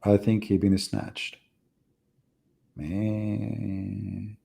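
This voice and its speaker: A man's voice: lowish voice, little pitch movement, drawn-out words, creaky, mellow. A man hosting a live debate stream, talking in a mocking, ironic way with rambling asides. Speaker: livestream debate host. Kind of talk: sarcastic live-stream commentary.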